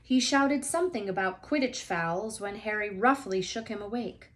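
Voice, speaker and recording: A woman's voice: medium pitch, normal speed. Woman reading a children's novel aloud. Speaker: read-aloud storyteller. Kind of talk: scripted narration of a children's novel.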